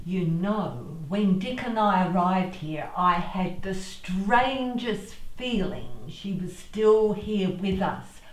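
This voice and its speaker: A woman playing an excitable, superstitious middle-aged radio actress in a comedy drama, dramatic and earnest. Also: medium pitch, slow pace, hardly any pauses, lightly acted. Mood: wistful, eerie. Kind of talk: amateur-style comedy drama dialogue. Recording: echoey room, distant microphone.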